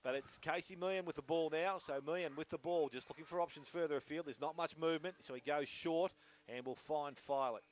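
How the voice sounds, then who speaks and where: A man's voice: a little high, fairly quick. A man with an Australian accent giving live play-by-play commentary on an Australian rules football match. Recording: noisy outdoor sports broadcast.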